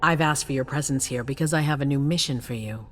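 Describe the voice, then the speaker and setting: Low-voiced imperious vampire ruler. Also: female voice, soft background music. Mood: imperious, menacing. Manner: regal, controlled, deliberate, at times hushed. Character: imperious female vampire prince, cold, calculating ruler. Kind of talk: dramatic video game acting.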